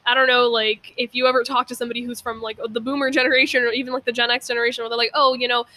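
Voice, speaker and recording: A woman's voice: fairly high pitch, level tone, very fast, pushed and bright. A young woman guesting on a podcast, talking casually and earnestly, emphatic about her opinions. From Persian background. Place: outdoors.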